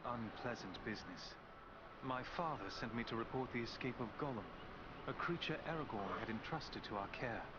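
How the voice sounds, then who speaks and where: A man's voice: medium pitch, moderate pace, highs cut off, natural voice. A proud and earnest prince of the woodland elves, acted by a man for a fantasy video game. He speaks formally and poetically, with heavy ambient noise behind the dialogue. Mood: grim.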